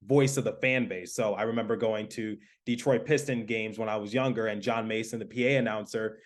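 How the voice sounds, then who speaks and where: A man from Michigan hosting a sports podcast, asking questions in a halting way with many fillers. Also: fairly low pitch, steady tone. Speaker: podcast host, hockey play-by-play announcer. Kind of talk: casual interview chat.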